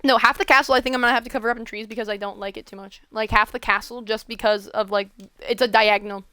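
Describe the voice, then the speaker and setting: A woman's voice: a little high, fairly even intonation, fast, bright, forceful tone, smooth, no room sound. A woman hosting a casual chat while she builds in a game. She rambles, with many fillers and restarts. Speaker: host.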